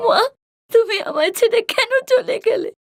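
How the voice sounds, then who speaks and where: A woman's voice: very high voice, lively intonation, moderate pace, over music, voice pushed up. A woman voicing a timid, hurt orphaned college girl in a cartoon story, speaking in a meek, pleading way. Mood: tearful.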